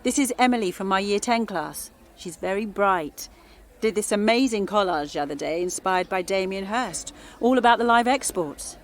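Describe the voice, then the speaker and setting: An actress playing an earnest schoolteacher who addresses a town meeting with firm, impassioned conviction. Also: female voice, medium pitch, moderate pace, far from the mic, close to an everyday voice. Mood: determined. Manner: firm, impassioned public speaking. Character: earnest woman teacher rallying a town meeting. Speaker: schoolteacher leading a town campaign. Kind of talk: audio drama.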